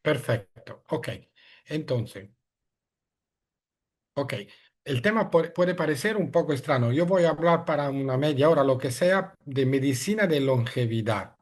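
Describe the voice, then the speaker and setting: Middle-aged guy, giving a talk on a video call, kinda high voice, stops to find words a lot. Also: animated, measured pace. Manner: hesitant, searching for words in a second language. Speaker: guest speaker. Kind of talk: webinar lecture.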